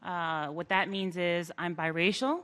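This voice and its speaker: A woman's voice: on the low side, steady tone, a little slow, a bit bright. Earnest woman telling her story. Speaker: panelist. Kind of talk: personal story on a panel.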